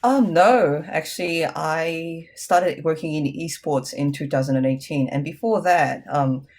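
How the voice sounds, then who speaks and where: Woman with a New Zealand accent. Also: a little slow. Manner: hesitant, many ums, lively rises in pitch. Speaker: interview guest. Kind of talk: casual interview conversation.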